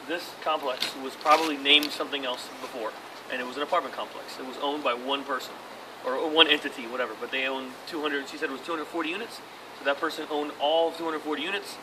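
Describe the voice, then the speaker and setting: A man explains condo conversions on a property tour, rambling and circling back over his words. He talks at a fast clip. The voice is picked up from a distance, with plenty of background noise. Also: medium pitch, fairly even intonation. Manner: rambling, repeats and restarts words. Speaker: real estate agent explaining condo conversions. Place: apartment complex outdoors, then a condo unit.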